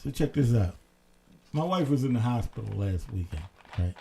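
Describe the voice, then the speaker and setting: Animated, swearing male talk-show host. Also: medium pitch, moderate pace, gravelly, slight background noise. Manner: animated storyteller, swears freely. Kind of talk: casual late-night chat.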